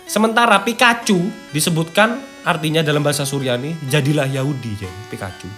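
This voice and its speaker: A man's voice: a little high, lively intonation, brisk, a bit bright. A man with an East Javanese accent hosts a casual, slangy video, chatting and partly reading out an article, peppering his talk with interjections. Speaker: video host. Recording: background music under the talk.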